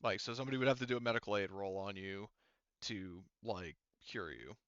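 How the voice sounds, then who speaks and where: Bright-voiced man as game master, muffled audio. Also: fairly low pitch, smooth, no echo. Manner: halting, thinks aloud while working out rules. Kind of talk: narrating and refereeing a tabletop roleplaying game.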